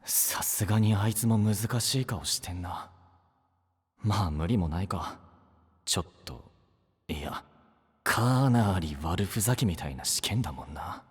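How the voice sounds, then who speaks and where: An actor playing a brash, sceptical young would-be knight with a blunt, rough manner in an anime voice drama. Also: male voice, deep voice, expressive, measured pace, dry recording, clean studio sound, exaggerated voice. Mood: sceptical, competitive.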